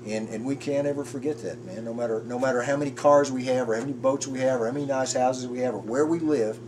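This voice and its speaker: A man's voice: medium pitch, fairly even intonation, a crowd in the background. A man in his fifties talking earnestly and reflectively in a casual porch conversation, often restarting his sentences. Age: 50s. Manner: earnest, halting, with frequent restarts. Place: outdoors on a front porch. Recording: distant mic with background noise.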